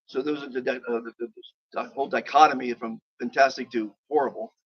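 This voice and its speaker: A man's voice: mid-range voice, fairly even intonation, fast, husky. Rambling upbeat man, lo-fi stream. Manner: rambling and upbeat, with many fillers and chuckles. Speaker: host interviewing a guest. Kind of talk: casual outdoor chat. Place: outdoors on a balcony overlooking volcanoes.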